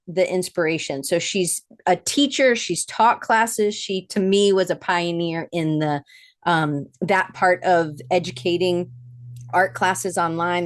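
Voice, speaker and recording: A woman's voice: lowish voice, no echo, studio quality. A woman hosting a live-streamed interview show, chatty and rambling as she greets her audience. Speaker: podcast host.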